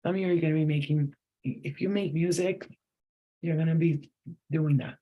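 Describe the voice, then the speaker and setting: A man's voice: on the high side, steady tone, normal speed, lo-fi sound. A man giving a channeled psychic reading to viewers, talking straight to them, emphatic and repetitive. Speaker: psychic reader.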